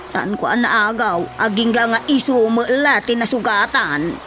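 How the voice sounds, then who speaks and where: High-pitched, plaintive village wife. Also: female voice, expressive, measured pace, bright, forceful tone, slight background noise. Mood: aggrieved, explaining. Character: distressed, complaining village wife with marital troubles. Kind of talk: radio drama comedy acting.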